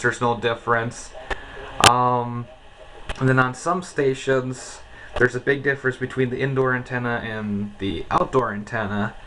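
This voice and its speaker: An American man casually giving a hands-on demonstration as a radio hobbyist, in an indoor setting. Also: medium pitch. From United States. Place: indoors beside a shortwave receiver. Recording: clearly noisy, shortwave radio hiss.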